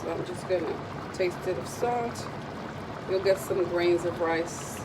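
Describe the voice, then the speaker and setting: Raspy woman, lowish voice, chatty and meandering with ums, home kitchen cooking demo, heavy background noise. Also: measured pace. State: recovering from a cold. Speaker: cooking video host. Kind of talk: casual cooking demonstration.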